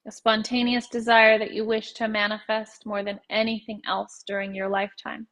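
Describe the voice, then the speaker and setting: A woman guiding a meditation as a teacher, speaking in a calm, measured way with pauses between phrases. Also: fairly high pitch. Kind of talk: guided meditation narration.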